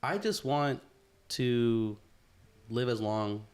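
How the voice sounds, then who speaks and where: A man's voice: medium pitch, expressive, extremely slow, a little mellow. Friendly man hosting a casual podcast. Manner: friendly, encouraging, lots of fillers. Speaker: podcast co-host. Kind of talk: casual chat.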